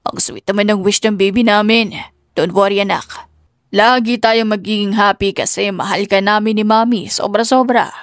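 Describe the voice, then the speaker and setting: A woman's voice: mid-range voice, fairly even intonation, moderate pace, dry recording, clean studio sound, natural voice. A woman voicing an affectionate, devoted husband and father, tender and reassuring, in an audiobook drama. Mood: fond.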